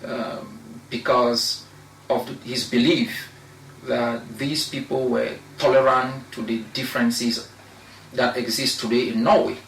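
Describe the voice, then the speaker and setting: A young man from Cameroon, a law researcher, giving measured, argumentative answers as an interview guest, with pauses and restarts. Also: mid-range voice, fairly even intonation, gravelly, a bit bright, far from the mic. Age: young adult. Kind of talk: interview discussion. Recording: echoey room, voice off-mic, faint noise.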